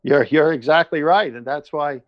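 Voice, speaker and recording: A man's voice: a little high, normal speed, no room sound, muffled recording. A man talking casually and at length, earnest and emphatic, often repeating his phrases. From born in Iran, moved to the United States at seven. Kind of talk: casual conversation, personal testimony and opinion.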